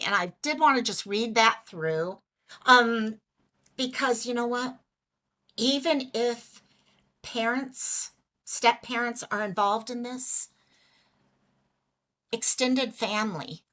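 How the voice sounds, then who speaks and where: A woman hosting a casual livestream, chatty and greeting viewers by name, a little hoarse as she is losing her voice. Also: fairly high pitch, bright, forceful tone. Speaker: livestream host.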